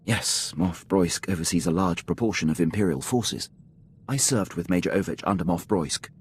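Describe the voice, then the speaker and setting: An actor playing a loyal, deferential Imperial military officer in a video game, reporting in a crisp, formal manner. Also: male voice, sits low, moderate pace, a little hiss or hum, natural voice. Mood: dutiful, urgent. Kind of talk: scripted video game acting.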